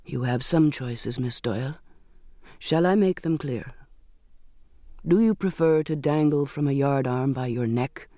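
A narrator voicing an old seaman in an audiobook, a gentle, shrewd friend who coaxes earnestly in formal, old-fashioned speech. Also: medium pitch, measured pace, no room sound, clean studio sound, lightly acted. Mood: grave, insistent.

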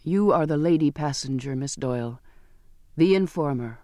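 A man's voice: a little high, moderate pace, dark tone, no room sound, clean studio sound, close to an everyday voice. A man voicing a hulking, bitter ship's cook in an audiobook, growling with blunt scorn and hostility. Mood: angry, scornful. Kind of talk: audiobook character voice.

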